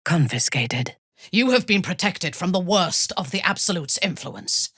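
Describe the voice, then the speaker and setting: Ruthless dark elf lady in a video game, super haughty, slow and deliberate, swings up and down a lot. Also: on the low side, animated, husky, no room sound, studio quality, exaggerated voice. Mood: cold, haughty. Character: ruthless, imperious female dark elf warrior cultist, cold and proud. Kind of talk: video game character dialogue.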